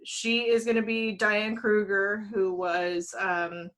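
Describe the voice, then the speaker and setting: A woman with a medium-pitched voice hosts a podcast. She presents her choices in loose, conversational speech, as if talking among friends. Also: little pitch movement, slow pace, a bit bright. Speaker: podcast host. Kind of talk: casual podcast chat, presenting film casting picks.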